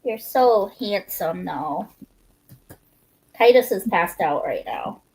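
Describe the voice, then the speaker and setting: A woman's voice: medium pitch, animated, slow, darkish tone, poor audio. A woman co-hosting a livestream in casual, chatty talk. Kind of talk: casual chat.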